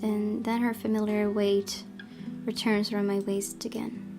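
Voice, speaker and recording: A woman with a mid-pitched voice narrates a visual novel. Her reading is expressive and measured, in a storytelling style. Guitar music plays clearly behind her. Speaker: gaming video host reading a visual novel aloud.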